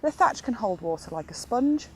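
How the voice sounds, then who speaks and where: A woman's voice: on the high side, very animated, moderate pace, a little hiss or hum, poor audio. A woman giving scripted instructional narration as an instructor in an educational film.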